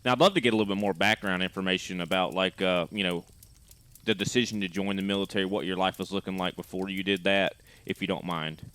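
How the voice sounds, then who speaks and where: A man's voice: low voice, steady tone, fast talker, a bit bright. A man hosting a podcast, asking rambling questions full of fillers in a casual tone. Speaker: podcast host.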